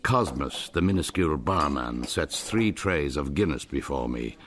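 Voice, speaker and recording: A man's voice: extremely low pitch, expressive, measured pace, fairly bright tone, slight background noise. A man with an Irish accent narrating a radio drama in a wry, ornate storytelling manner.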